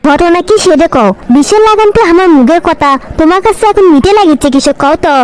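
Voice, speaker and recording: Woman voicing a very shrill sassy village girl. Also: lively intonation, fast. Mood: sassy, indignant. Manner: indignant, argumentative back-talk. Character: sassy, vain, whiny young village girl. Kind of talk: comedy cartoon dialogue.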